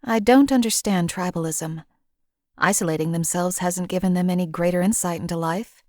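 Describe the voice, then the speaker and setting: A woman voicing a cold, calculating female assassin companion in a game, with a calm, detached, clipped delivery. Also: lowish voice, moderate pace, no room sound, studio quality, close to an everyday voice. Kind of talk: game voice lines.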